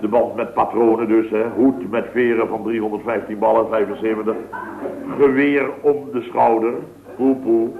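A man's voice: on the low side, steady tone, normal speed, creaky, background noise. A man playing a boastful, rambling contractor in a 1960s radio comedy. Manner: rambling, boastful, full of tag words. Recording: tinny, echoey old radio broadcast.

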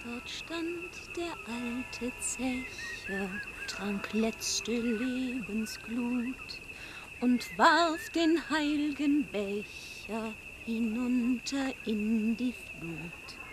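An actress playing a gentle woman reciting an old ballad in a hushed, solemn poetic style for radio drama. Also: fairly high pitch, noisy background. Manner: hushed, very slow.

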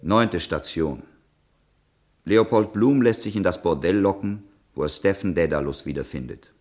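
A man's voice: low-pitched, normal speed, darkish tone, natural voice. A man announcing scenes in a radio drama with formal, scripted, synopsis-like narration.

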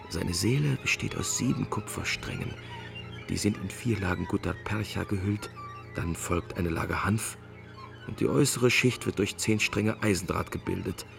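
A man playing a solitary, brooding telegraph operator in a measured, gravelly radio monologue, with music underneath. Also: deep voice, heavily acted. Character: solitary man manning a remote telegraph house, waiting. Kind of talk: literary monologue in a radio play.

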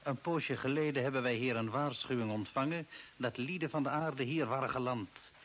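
A man's voice: medium pitch, moderate pace, fairly bright tone, close to an everyday voice. An actor playing a cold, authoritarian old man from Earth who serves the Martians in an older Dutch radio play, commanding and scornful. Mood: cold, firm. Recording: hissy, noisy old radio recording.

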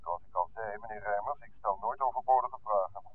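A clipped, aloof businessman on a tinny phone line, talking quickly in a lowish voice. He is formal and discreet, as in an old radio drama. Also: slight background noise. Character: cool, discreet Amsterdam business contact on the telephone. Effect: telephone filter. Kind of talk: 1960s Dutch radio drama acting.

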